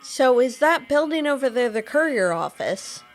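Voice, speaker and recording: Young woman, kinda high voice, lots of ums and uhs, quiet music under it. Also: sing-song, normal speed. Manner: hesitant, with filler words. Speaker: player voicing her character. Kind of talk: casual game voice-acting.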